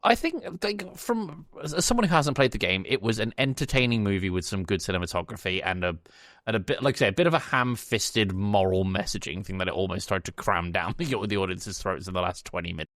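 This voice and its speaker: A man with a slightly low voice speaks as a podcast co-host. He gives casual review commentary, working out his opinions aloud in everyday informal language. The sound is very dry, with no room around the voice. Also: animated, fairly quick, a bit bright, no echo. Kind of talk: casual film review chat.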